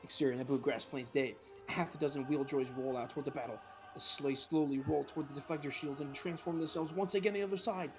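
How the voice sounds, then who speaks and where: A man with a slightly high voice reads scene descriptions at a quick clip. He narrates informally, as in an amateur comedy read-through, and sometimes trips over words. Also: fairly even intonation, soft background music. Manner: informal, stumbles over words, adds sound effects.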